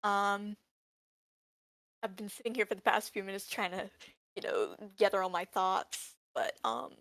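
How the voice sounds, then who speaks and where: A woman's voice: medium pitch, fairly even intonation, unhurried, a bit bright, dry recording, clean studio sound. A woman giving casual, tentative feedback in a group discussion, in an informal way. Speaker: novice screenwriter giving feedback.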